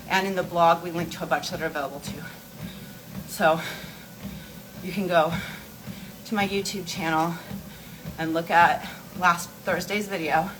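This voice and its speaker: Woman chatting casually over clear background noise. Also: fairly low pitch. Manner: rambling, informal, explanatory; talking while walking on an inclined treadmill. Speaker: beauty and wellness livestream host. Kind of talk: casual chat answering viewer questions.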